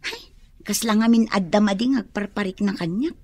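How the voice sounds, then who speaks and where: A woman's voice: mid-range voice, normal speed, darkish tone, slightly rough, no room sound. A woman playing a wary friend who speaks in hints, in a radio drama. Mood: probing. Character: hinting, wary female friend of the wife. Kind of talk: Ilocano radio drama acting.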